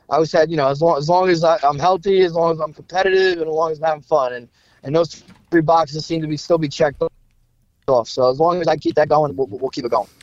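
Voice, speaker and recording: Fast-talking man in his thirties. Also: a little high, no echo, muffled recording. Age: 30s. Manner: halting, repeats words, many "you know" fillers. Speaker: guest fighter. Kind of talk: casual podcast chat.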